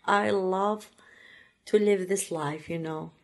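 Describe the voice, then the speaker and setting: Slow, halting woman from Iraq. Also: lowish voice, fairly even intonation. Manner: halting, many fillers and restarts, second-language phrasing. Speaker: interviewee. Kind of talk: personal story, casual.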